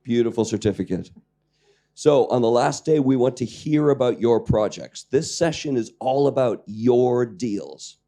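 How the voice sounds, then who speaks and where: A man with a croaky voice giving a lecture to a training class, joking and questioning the audience while clearing his throat. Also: mid-range voice, animated, measured pace, warm tone. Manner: jokey, engaging the audience with questions. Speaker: lecturer on public-private partnerships. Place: lecture room with live audience.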